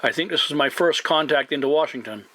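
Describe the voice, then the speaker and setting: A man's voice: on the high side, a little fast, sharp tone, slight background noise. A man working as a CB radio operator and host, making formal, announcer-like contact calls with call signs, place names and phonetic spelling. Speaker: CB radio operator hosting a video channel.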